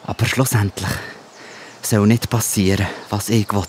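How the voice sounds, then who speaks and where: Man playing gentle troubled Jesus, low slow voice, solemn halting phrases, insect and bird ambience, Bible radio play. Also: steady tone, slight background noise, lightly acted. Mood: fearful, pleading. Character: gentle, troubled adult Jesus, submissive to his Father. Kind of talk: children's Bible audio drama.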